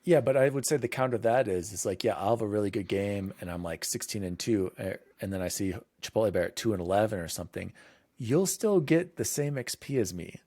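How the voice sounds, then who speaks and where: A man's voice: on the low side, expressive, a little fast, a little mellow, dry recording. A man hosting a casual gaming podcast, talking in rambling bursts with frequent restarts. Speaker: podcast host.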